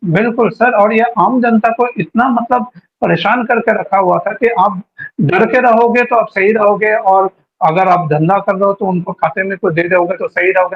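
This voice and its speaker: A man's voice: high-pitched, husky, dull audio. A man speaking as an interview guest on politics, talkative and informal, mixing English words into his speech. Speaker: guest giving local political account.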